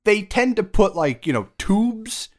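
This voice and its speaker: A man's voice: high-pitched, big pitch swings, unhurried. A man with a stuffed-up nose, co-hosting a casual podcast about a horror film, stumbling through many restarts and fillers. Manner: hesitant, many restarts and likes. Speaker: podcast co-host. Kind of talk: casual movie review chat.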